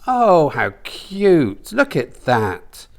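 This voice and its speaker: A man's voice: a little high, huge pitch swings, very slow, pitched above the performer's own voice, exaggerated voice. A man voicing a gushing, nosy older woman in a comic novel, full of naive wonder. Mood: curious wonder.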